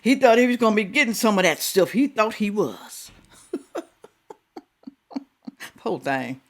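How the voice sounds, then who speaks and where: Woman, reaction host, lively swooping pitch, scolding, casual talk. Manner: animated, scolding, drawn-out exclamations.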